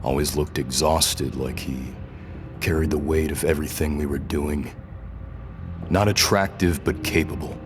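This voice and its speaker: An actor playing a captive rebel captain in a science fiction audio drama, a man who is guarded, halting and uncertain beneath his defiance. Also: a bass voice, steady tone, music in the background. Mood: halting, reflective. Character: defiant but confused male rebel captain, held prisoner.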